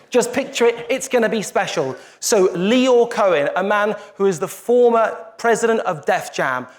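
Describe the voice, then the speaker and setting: Male host, high voice, hyping up the room from the stage. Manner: energetic, emphatic build-ups. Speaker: event host. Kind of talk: stage presenting. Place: stage at a live event.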